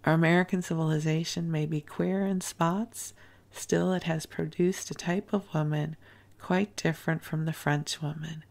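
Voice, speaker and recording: A woman reading the lines of a kindly, proper older American matron, gently scolding and emphatic. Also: sits low, steady tone, moderate pace, creaky.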